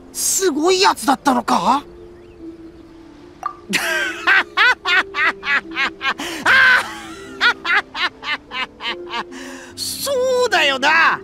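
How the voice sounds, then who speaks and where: Brief: a brash oni gang boss for a game, high for a man, gravelly, swaggering; soft game music behind. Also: heavily acted. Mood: boastful. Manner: swaggering, rough-spoken, self-proud. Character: boastful brash young male oni gang leader. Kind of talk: game cutscene voice acting.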